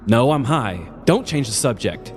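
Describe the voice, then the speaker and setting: A man's voice: mid-range voice, animated, a little slow, a little mellow, long reverb tail, soft background music, voice pushed up, lightly acted. A man playing a belligerent, self-important single father who rants in grandiose, profane style as a crank caller in a comedy story. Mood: defiant.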